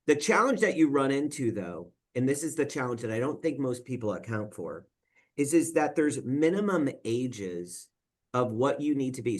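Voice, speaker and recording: A man of middle pitch giving an explanatory talk as a financial advisor. He speaks conversationally and works through examples one step at a time. He keeps checking in with his listeners with a quick "right?". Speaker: financial advisor presenting at a roundtable. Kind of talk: explanatory presentation.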